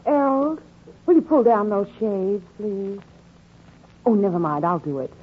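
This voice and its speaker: A woman's voice: mid-range voice, sing-song, unhurried. A woman playing a genteel, sweet wife in a 1940s radio drama. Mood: busy, affectionate. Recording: tinny, noisy 1940s radio recording.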